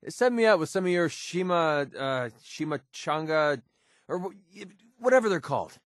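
An actor playing a cocky, sarcastic young mercenary companion in a video game, with an American accent and a wry, grumbling, slangy delivery. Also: male voice, fairly high pitch, a bit bright, no echo, studio quality. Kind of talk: video game character dialogue.

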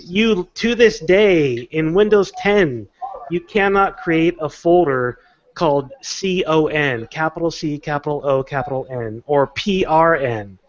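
A man hosting a podcast, chatting casually with others over an online call. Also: on the high side. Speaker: podcast host. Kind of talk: casual panel chat. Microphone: microphone on a video call. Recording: slightly dull online call audio.

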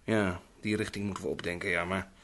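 An actor playing a doctor and scientist who works through the night, talking in a jovial, chatty way in an old radio drama. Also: male voice, sits low, little pitch movement, brisk, a bit bright, a little hiss or hum. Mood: earnest. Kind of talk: vintage Dutch radio drama acting.

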